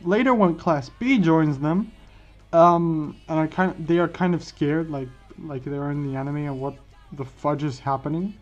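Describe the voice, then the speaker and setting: A man's voice: fairly high pitch, moderate pace. A man telling a fan-fiction story informally, often repeating and restarting his phrases. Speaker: host of a fan what-if story series. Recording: dull lo-fi mic, music behind. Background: background music.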